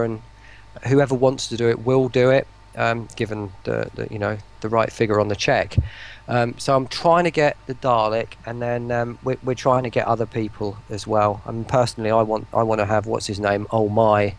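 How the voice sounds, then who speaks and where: A man with a creaky, gravelly voice talks as a guest in a casual online interview. He rambles, cuts himself off and hunts for the right word as he goes. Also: medium pitch, steady tone, normal speed. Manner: rambling, searching for words, self-interrupting. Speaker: voice pack producer, interview guest.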